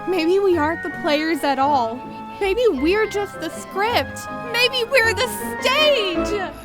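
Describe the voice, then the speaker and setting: An actress playing a young college student given to philosophical wondering in a comedic audio drama. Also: female voice, extremely high pitch, lively intonation, takes it slowly, loud music behind the voice, full character voice. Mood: musing.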